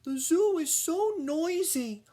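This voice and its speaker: A man voicing an exhausted, upset female giraffe in a storybook, with a whiny, drawn-out complaint. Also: very high voice, lively intonation, mellow, pitched above the performer's own voice, heavily acted. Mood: weary, upset.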